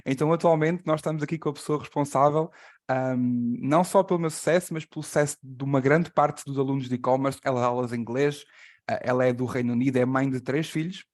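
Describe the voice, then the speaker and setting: A man's voice: medium pitch, fairly even intonation, racing. A man with a Portuguese accent, a native Portuguese speaker, hosting an online class and introducing a guest. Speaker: host and translator of an online class. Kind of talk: introducing a guest on a live call.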